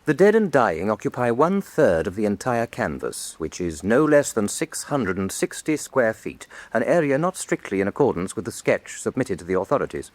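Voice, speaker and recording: Detached male narrator, measured, precise, grave, varied intonation, dry studio, scripted drama. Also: fairly low pitch, big pitch swings, no room sound. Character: formal, detached narrator cataloguing a grim painting.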